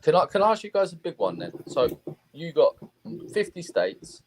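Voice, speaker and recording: A man's voice: a little high, wildly swooping pitch, normal speed, a little hiss or hum, poor audio. A man from England hosting a live stream, asking questions in a casual, curious chat.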